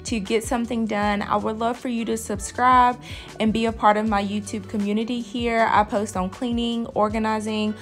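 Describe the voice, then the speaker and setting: A woman's voice: on the high side, flat delivery, moderate pace. A woman vlogger giving a casual, conversational voice-over. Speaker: vlogger, host of a cleaning channel. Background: music.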